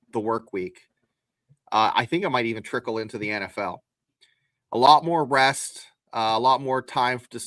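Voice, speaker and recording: A man's voice: mid-range voice, fairly bright tone, no room sound. A man co-hosting a sports podcast, explaining his takes in a folksy, casual way. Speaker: podcast co-host.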